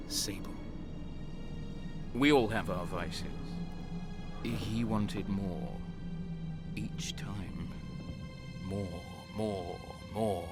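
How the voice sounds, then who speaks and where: Man acting a slow, brooding, low-voiced soldier. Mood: regretful. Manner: slow, halting, sombre, bitter. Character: brooding, cynical traitor guardsman recounting dark deeds. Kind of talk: dramatic first-person narration and audio drama acting.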